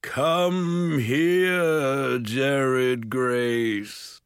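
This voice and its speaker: A huge, cruel ogre from a storybook. He booms and growls his boastful threats very slowly, drawing out each grand phrase with menace. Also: fairly even intonation, smooth, dry recording, studio quality. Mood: booming threat. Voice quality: booming, deep growl. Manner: slow, drawn-out, grandiose threats.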